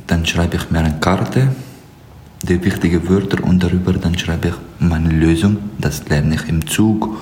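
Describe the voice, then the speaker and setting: A man's voice: deep voice, steady tone, measured pace, mellow, echoey room, slight background noise. A man answering interview questions in a plain, matter-of-fact way. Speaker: interviewee, language learner.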